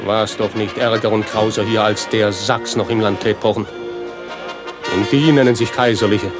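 A man's voice: fairly low pitch, fairly even intonation, normal speed, over music, natural voice. An actor playing a grumbling, crafty peasant father in a German radio play, speaking rustic declamatory rhyme. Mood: bitter, grumbling.

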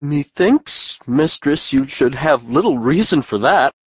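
A man's voice: fairly high pitch, animated, measured pace, slightly rough, no room sound, dull audio. Earnest, foolish workman. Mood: cocky, bemused. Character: foolish, cheerfully self-important workman. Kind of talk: theatrical audiobook Shakespeare acting.

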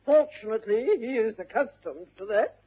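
An actress playing an imperious older upper-class English aunt in a classic stage comedy, with a haughty, deliberate, declamatory delivery. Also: female voice, a little high, animated, husky. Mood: imperious, indignant. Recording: muffled vintage narrow-band radio recording.

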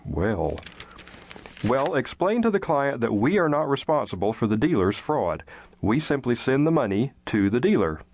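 A man's voice: mid-range voice, sing-song, moderate pace, darkish tone, a little hiss or hum. An actor playing a curt, dismissive male shop boss in an audio drama, authoritative and coolly self-serving.

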